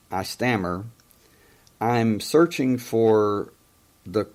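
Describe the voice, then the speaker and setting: A man in his fifties who stutters and stammers, hosting a call-in show in a casual monologue, often pausing to search for words. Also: lowish voice, darkish tone. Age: 50s. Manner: many pauses and hesitations.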